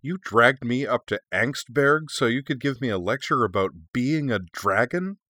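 A man's voice: on the low side, big pitch swings, normal speed, fairly bright tone, dry recording, studio quality. A man voicing a sassy young female dragon in a story, with a sarcastic, snappy, defiant manner. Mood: indignant, sarcastic. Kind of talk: expressive storybook character reading.

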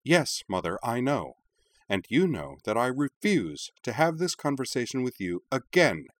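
A man's voice: deep voice, lively intonation, moderate pace, no echo. A man voicing an exasperated young woman in an audiobook, clipped, firm and dryly sarcastic. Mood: indignant. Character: young woman, sharp-tongued, exasperated with her mother. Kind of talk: audiobook character voice.

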